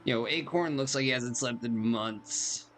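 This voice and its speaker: A man's voice: medium pitch, unhurried, a bit bright, soft background music. A man hosting a game walkthrough with casual, slangy commentary and a laid-back manner. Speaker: gamer, walkthrough host. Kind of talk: casual game commentary, reading dialogue aloud.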